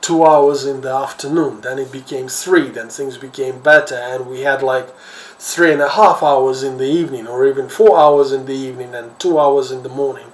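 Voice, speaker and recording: A man's voice: mid-range voice, fairly even intonation, normal speed. A middle-aged man from Ukraine with a Ukrainian accent gives informal, rambling commentary, repeating words as he searches for his phrasing. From Kherson, Ukraine. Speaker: social commentator, host. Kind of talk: informal off-the-cuff news commentary. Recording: echoey room, distant mic, faint noise.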